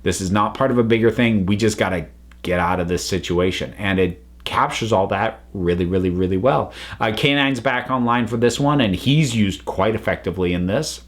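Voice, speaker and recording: A man's voice: on the low side, moderate pace. A man hosting a review video, talking casually to viewers and leaning on repeated words for emphasis.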